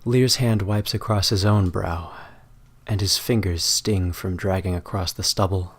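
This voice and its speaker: Lower-voiced man reading a dramatic story. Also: fairly even intonation, a little slow, slightly rough. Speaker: author reading own fan fiction.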